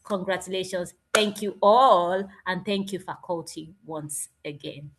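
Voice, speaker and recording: A woman with a Nigerian accent presenting informally at an online event, emphatic and animated, with exclamations and repeated phrases. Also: fairly low pitch, a little slow.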